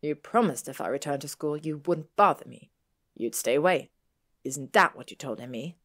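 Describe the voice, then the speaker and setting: A woman's voice: sits low, lively intonation, normal speed, a bit bright, exaggerated voice. A woman playing an aloof, proud teenage boy wizard in a story, speaking in a curt, clipped way. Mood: strained, resigned.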